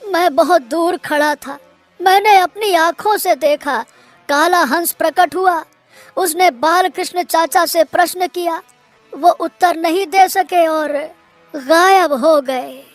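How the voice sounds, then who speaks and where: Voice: extremely high pitch, slow, no echo, soft background music. A performer voicing an alarmed, concerned village man in a Hindi cartoon, anxious and dramatic.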